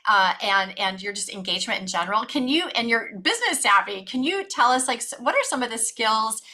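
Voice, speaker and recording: A woman's voice: a little high, lively intonation, a little fast, pushed and bright. A woman hosting an interview over a video call, asking her guest questions with curious interest. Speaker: interview host.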